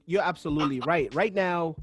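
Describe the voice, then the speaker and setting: A man's voice: a little high, fairly quick, breathy edge. A man from Uganda hosting a podcast interview, thoughtful and searching for his words, often restarting his sentences. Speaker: podcast host. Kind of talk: casual interview chat.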